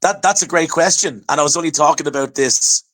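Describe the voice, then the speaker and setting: An Irish man chatting as a podcast guest, telling stories in an animated way and often restarting his words. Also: on the high side, brisk. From Ireland. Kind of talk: casual chat.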